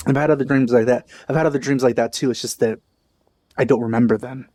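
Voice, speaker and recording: A man giving casual let's-play commentary, musing aloud in a halting, informal way. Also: mid-range voice, fairly even intonation, mellow, no echo. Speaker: gaming video host.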